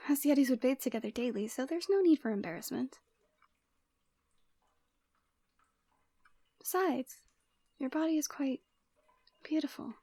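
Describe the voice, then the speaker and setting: A woman voicing a proud, lonely yeti woman in an ASMR roleplay, commanding yet tender and teasing, often slipping into breathy whispers. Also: high voice, mellow, dry recording, lightly acted. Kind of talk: ASMR roleplay, close and slow character acting.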